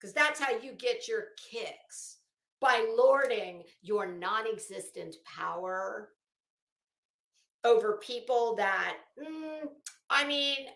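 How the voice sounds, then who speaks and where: A woman's voice: fairly high pitch, pushed and bright. A woman hosting a casual livestream commentary, with a sarcastic, mocking, profane way of talking. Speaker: livestream host. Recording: echoey untreated room.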